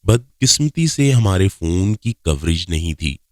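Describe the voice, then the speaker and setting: A man's voice: deep voice, very animated, measured pace, a little mellow, dry recording, clean studio sound. A man reading a scripted first-person story as its narrator.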